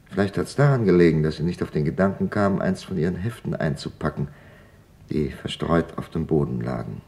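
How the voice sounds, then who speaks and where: An actor playing a grieving father who recalls wartime loss in a halting, reflective radio-play testimony. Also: extremely low pitch, mellow. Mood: somber, subdued. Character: grieving father recalling his family's wartime arrest and deportation.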